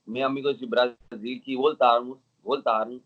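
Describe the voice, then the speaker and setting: A man's voice: mid-range voice, fairly even intonation. Dull-sounding recording, Indian-accented man. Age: 30s. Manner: halting, non-native Portuguese with simple phrasing. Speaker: interview guest. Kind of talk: casual personal storytelling. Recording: dull, lo-fi audio.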